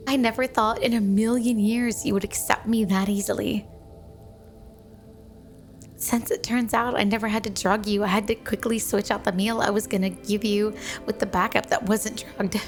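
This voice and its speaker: A woman's voice: mid-range voice, lightly acted. A woman voicing a flirty, clingy yandere snow woman spirit in an ASMR roleplay, speaking playfully and coyly to the listener as a doting wife. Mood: doting, teasing. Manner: playful, coy, doting, teasing.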